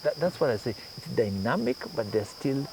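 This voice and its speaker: A man from Kenya talks through his ideas in an interview at a middle pitch, building each point with everyday examples. Faint insect chirping sits quietly behind his voice. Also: animated, normal speed, noisy background. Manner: thoughtful, explains with examples and asides. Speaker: guest journalist. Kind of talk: conversational interview.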